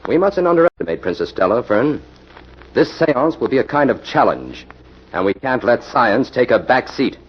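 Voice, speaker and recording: An actor playing a composed, dryly amused male scientist-sleuth with a measured, firm manner in an old radio mystery. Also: medium pitch, very animated, close to an everyday voice. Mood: explaining. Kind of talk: 1940s radio mystery acting. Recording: muffled, noisy old radio recording.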